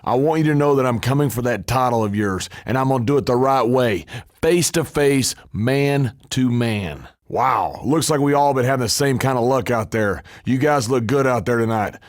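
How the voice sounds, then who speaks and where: A man's voice: mid-range voice, normal speed, dry recording, studio quality, close to an everyday voice. A man playing a cocky, scheming, trash-talking pro wrestler in scripted video game dialogue, with a brash, emphatic delivery. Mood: confiding, measured.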